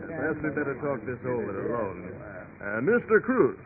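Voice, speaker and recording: Actor as officious lawmaker, swooping vintage-radio delivery. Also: male voice, mid-range voice, very animated, normal speed, close to an everyday voice. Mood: skeptical, officious. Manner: formal, questioning. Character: skeptical, officious 19th-century legislator. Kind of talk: 1930s radio acting. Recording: tinny radio recording, crowd murmur.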